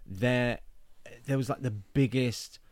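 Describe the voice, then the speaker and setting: A man co-hosting a casual film discussion, relaxed, with dry quips. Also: medium pitch, slow pace, no echo. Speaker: film podcast co-host.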